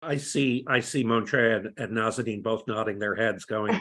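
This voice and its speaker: A man co-hosting a podcast, introducing guests in a measured way, with frequent fillers. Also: lowish voice, steady tone, pushed and bright. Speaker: podcast co-host.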